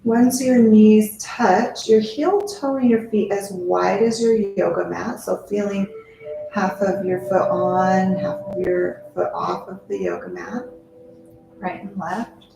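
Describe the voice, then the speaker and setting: A woman yoga teacher leading a guided meditation in a gentle, unhurried way, with short, spaced-out phrases. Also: medium pitch, vocal fry. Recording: very echoey, music under the voice. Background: music.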